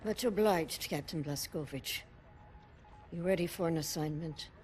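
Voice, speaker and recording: An actress playing a battle-hardened resistance leader in a video game cutscene, blunt, dry and commanding. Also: female voice, sits low, measured pace, a little mellow. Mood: composed, businesslike.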